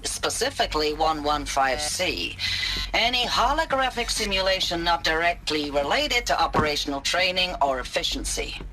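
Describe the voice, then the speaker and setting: An actress playing an officious military figure who formally recites regulations in a video game, with pointed emphasis. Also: female voice, on the low side, expressive, normal speed, heavy background noise, close to an everyday voice. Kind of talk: scripted game dialogue. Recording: clear music behind the voice.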